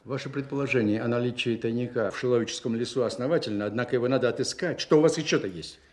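A male actor plays a demanding Soviet general in a radio drama. He is a man of middling pitch who questions and orders curtly, impatient and hard to satisfy. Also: very fast, a little mellow, natural voice. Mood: grave, weighing. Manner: curt, commanding, interrogating. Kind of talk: Russian radio play acting.

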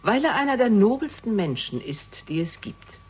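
A frank middle-aged widow, husky voice, swings up and down a lot, radio drama. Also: female voice, mid-range voice, very animated, moderate pace. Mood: candid, wistful. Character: candid, quick-witted middle-aged widow of a poet. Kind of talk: 1960s German radio crime drama.

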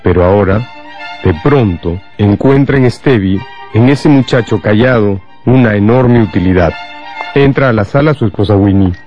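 A man's voice: fairly low pitch, moderate pace. A man narrating a radio drama from a script, as a storyteller. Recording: dull band-limited sound, music underneath.

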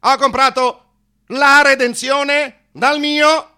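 Cattolico di sinistra agitato, voce acuta. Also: male voice, very high-pitched, flat delivery, slow. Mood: self-justifying. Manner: stammering, repeating phrases, swinging from muttering to shouting. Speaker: narrator-actor of a satirical monologue. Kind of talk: theatrical comic monologue for radio.

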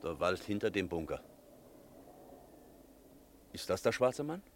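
A resolute village priest in a radio play, heard with faint background noise. The voice is low, and the delivery is firm, insistent and unwavering. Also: male voice, big pitch swings, measured pace. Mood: resolute, urgent. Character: resolute, unarmed village priest on a night search. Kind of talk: German radio play acting.

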